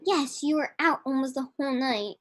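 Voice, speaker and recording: A young-sounding actress playing a kindly, motherly baker in a live radio drama, gentle and coaxing. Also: high voice, fairly quick, no room sound, clean studio sound, lightly acted. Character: kindly, motherly woman baker nursing a patient.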